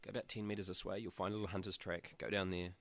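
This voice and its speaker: A man's voice: low voice, little pitch movement, fast talker, vocal fry, dry recording. A man with a New Zealand accent hosting his own radio show in casual chat, cheeky and jokey, with a sore throat and blocked nose. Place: small studio room at back of a shed.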